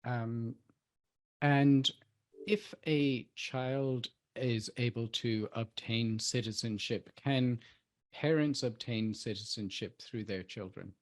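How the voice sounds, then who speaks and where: A man hosting a webinar, asking questions in a relaxed way with many ums and quick acknowledgements over a video call. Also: mid-range voice. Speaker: webinar host. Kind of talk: interview questions.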